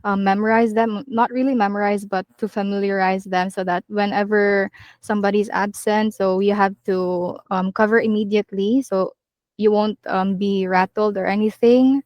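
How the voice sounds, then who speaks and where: A woman in her twenties, a call center agent being interviewed, answering earnestly with many pauses and ums. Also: mid-range voice, fairly even intonation, darkish tone, dry recording. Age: 20s. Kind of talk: casual interview chat.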